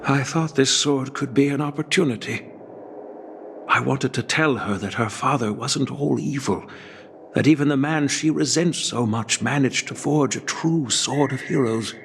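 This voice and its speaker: A man playing a dignified, caring elderly grandfather in a video game, speaking in a measured, solemn, formal way. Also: medium pitch, vocal fry, a little hiss or hum. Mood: solemn, regretful. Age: older. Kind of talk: scripted game dialogue. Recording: background music under the voice.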